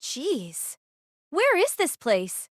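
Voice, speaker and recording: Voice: high-pitched, huge pitch swings, extremely slow, no echo, studio quality, pitched above the performer's own voice, exaggerated voice. A woman voicing an earnest, troubled young female platoon leader in a dramatized novel reading, exclaiming and questioning emotionally. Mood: confused, calling out.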